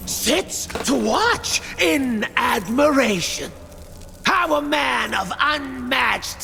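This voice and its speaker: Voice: high-pitched, vocal fry, sharp tone, long reverb tail, exaggerated voice. An actor playing a manic, torture-loving masked executioner in a video game, speaking in theatrical sing-song rhymes. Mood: crazed, excited. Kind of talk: video game character acting.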